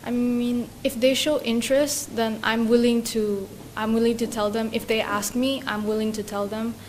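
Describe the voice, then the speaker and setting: Woman, soft slow speech, repeats herself, higher voice, faint hiss. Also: level tone. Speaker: interviewee. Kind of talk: interview answers.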